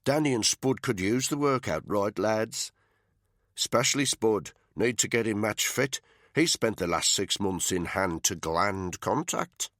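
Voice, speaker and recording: A man's voice: lowish voice, expressive, measured pace, a bit bright, no echo, studio quality, voice pushed up. A man voicing Tony, a sneering, bullying male unit commander who talks crudely. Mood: mocking.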